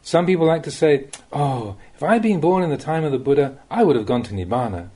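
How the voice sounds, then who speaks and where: A man reads spiritual teachings aloud in a soft, dark-toned voice with a slightly husky grain. His pitch rises and falls freely as he stresses key phrases. The delivery is plain-spoken and measured, like a monk instructing students. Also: lively intonation. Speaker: Buddhist monk reading translated teachings. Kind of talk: reading a recorded Dhamma talk aloud.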